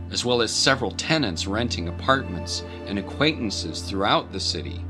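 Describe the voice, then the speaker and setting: A man narrating a scripted dramatic story as a voice-over. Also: on the low side.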